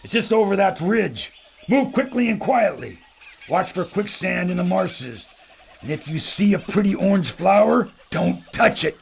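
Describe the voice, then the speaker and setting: A brash, boastful adventurer filmmaker with a gruff swagger. His voice sits high and gravelly with a husky edge. It swoops with lively energy. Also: measured pace, full character voice. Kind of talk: audio drama acting.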